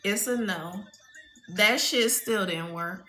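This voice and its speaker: A woman's voice: medium pitch, takes it slowly, pushed and bright, echoey room, soft background music. A woman giving a casual livestream spiritual reading, blunt and profane, telling stories full of quoted voices. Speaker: spiritual reader.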